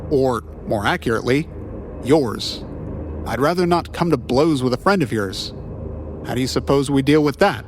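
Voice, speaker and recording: Wisecracking ex-cop detective played by a man, animated mid-range delivery, quippy, easily flustered, audio drama banter. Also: medium pitch, normal speed, background noise, close to an everyday voice. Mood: earnest. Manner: flustered stammering, flippant quips. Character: wisecracking, awkward male private eye, rough-edged ex-cop. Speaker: private detective character, former cop.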